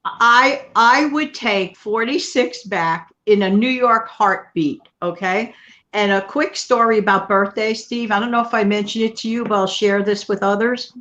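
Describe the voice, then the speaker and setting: A woman's voice: mid-range voice, measured pace, fairly bright tone. A middle-aged woman hosting her channel in casual chat, rambling and laughing often. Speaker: channel host. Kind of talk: casual live-stream chat.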